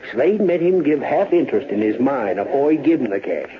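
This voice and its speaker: An actor playing a gossipy, shrewd frontier saloon fellow with a rustic American drawl, wry and talkative, in old radio-western style. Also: male voice, mid-range voice, big pitch swings, a little fast, natural voice. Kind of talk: 1930s-40s radio western acting. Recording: muffled, hissy old-time radio recording.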